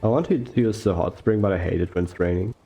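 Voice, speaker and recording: A young man talking slowly and softly over gameplay, rambling with fillers and self-corrections. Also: low voice, dull audio, soft background music. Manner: slow, soft-spoken, unhurried. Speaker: gaming YouTuber. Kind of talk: relaxed gameplay commentary.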